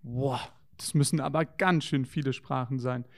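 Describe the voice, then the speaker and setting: A man's voice: mid-range voice, fairly even intonation, moderate pace. A man co-hosting a children's show, talking in a playful, wondering way to children in a scripted dialogue.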